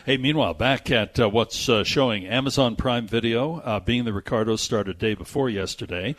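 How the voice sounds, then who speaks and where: A man's voice: medium pitch, normal speed, fairly bright tone. A man hosting a movie show, chatting casually and reading out entertainment news with conversational asides. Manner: conversational, reads news items aloud with asides.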